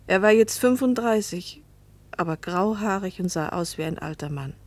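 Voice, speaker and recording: An actress in a German radio play voicing a lonely, fearful, resigned wife, breathy and often hushed. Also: female voice, lowish voice, expressive, normal speed, dry recording. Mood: melancholy, reflective. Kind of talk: German radio play acting with first-person narration.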